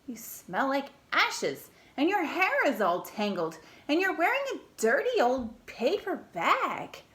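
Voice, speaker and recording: A woman voicing a vain, snooty young prince in a storybook, slow and haughty. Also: high-pitched, wildly swooping pitch, sharp tone, pitched above the performer's own voice, full character voice. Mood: disdainful.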